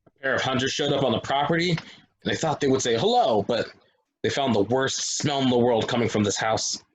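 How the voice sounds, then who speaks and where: A man's voice: mid-range voice, fairly quick, a little hiss or hum, close to an everyday voice, rough recording. A man at a stage play table reading, playing a cynical, troubled local who talks bluntly and dismissively. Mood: eager storytelling.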